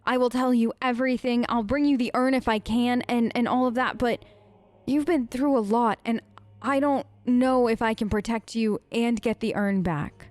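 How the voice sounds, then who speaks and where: A woman's voice: a little high, steady tone, normal speed, darkish tone, dry recording. A woman streamer role-playing her character in a game, chatty and emotive, with hesitant fillers. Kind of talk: role-play let's play chat.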